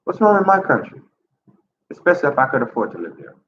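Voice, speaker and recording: A man's voice: medium pitch, wildly swooping pitch, fast. A man in his fifties with a stuffy nose from a cold, talking casually to camera in his apartment, upbeat and chatty, his voice jumping up when he makes a point. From Jamaica. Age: 50s. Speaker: vlogger. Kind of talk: casual vlog talk to camera. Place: apartment indoors. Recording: roomy lo-fi audio with ambient noise.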